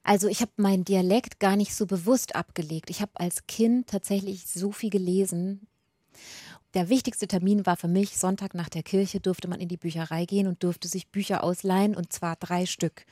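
A woman from Franconia in Bavaria, speaking clean standard German as an interview guest. Her voice sits at a middle pitch and sounds close and dry. She talks in a thoughtful, measured way with a light touch of humor. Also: fairly even intonation, mellow, no room sound. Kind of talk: reflective conversational storytelling.

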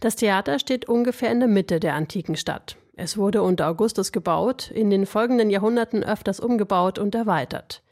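Woman radio reporter with slight creak. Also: mid-range voice, brisk, few pauses, a little mellow. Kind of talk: scripted radio feature narration.

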